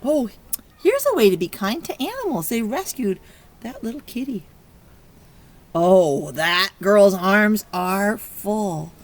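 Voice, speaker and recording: Expressive woman reading slowly to young children. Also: medium pitch. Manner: encouraging, praising child listeners; expressive, exclaiming and prompting. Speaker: preschool teacher reading a picture book aloud. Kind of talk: children's read-aloud with questions to young listeners. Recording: clear background noise.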